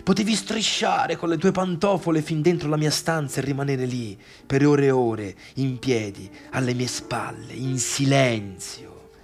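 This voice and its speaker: A man's voice: mid-range voice, measured pace, faint music behind, close to an everyday voice. A man playing a bitter adult son in a theatrical monologue, intense and reproachful, at times sinking to a near-murmur. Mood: bitter, wounded. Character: tired, bitter adult son confronting his overbearing father.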